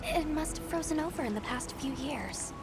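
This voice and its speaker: An actress playing an earnest, caring young female guild handler in a video game cutscene, over loud music. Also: sits high, lively intonation, normal speed. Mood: calm, practical.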